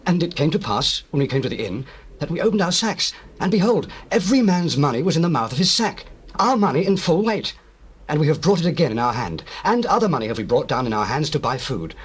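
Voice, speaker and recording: An anxious Hebrew brother in a Bible drama. The voice is husky and swings widely as he earnestly protests to his superiors in archaic speech. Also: on the high side, sing-song, fast. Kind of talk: dramatized scripture, stage acting.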